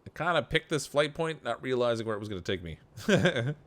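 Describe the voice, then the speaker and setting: A man's voice: medium pitch, animated, a little fast, fairly bright tone. A man streaming a game live, chatting casually, laughing often and answering his viewers as he plays. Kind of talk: casual live gameplay commentary.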